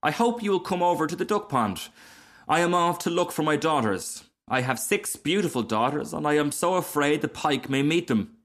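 A man's voice: a little high, lively intonation, normal speed, fairly bright tone, pitched above the performer's own voice. A man voicing a small, cheerful male frog in a storybook, garrulous, genteel and very pleased with himself. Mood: breezy, chatty. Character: cheerful, chatty, self-satisfied little male frog. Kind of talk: storybook character reading.

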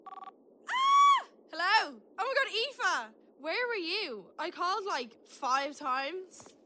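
A woman's voice: extremely high pitch, wildly swooping pitch, slow, pushed and bright, a little hiss or hum, heavily acted. A woman playing a chatty, exasperated older sister in a children's audio drama, gossipy and dramatic. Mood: gossipy, excited.

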